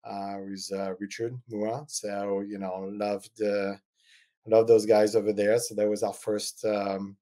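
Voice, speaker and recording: A man's voice: lowish voice, flat delivery, a little slow, mellow. A middle-aged man from France with a French accent, a podcast guest talking in a rambling, hesitant way with many ums. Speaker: guest, eyewear designer. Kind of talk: casual interview chat.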